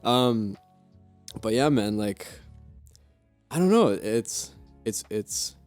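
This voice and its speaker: Laid-back deep-voiced young man. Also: expressive, slow, darkish tone, faint music behind. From Romania. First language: Romanian. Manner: laid-back, laughs often, slangy. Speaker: podcast co-host. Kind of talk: casual chat.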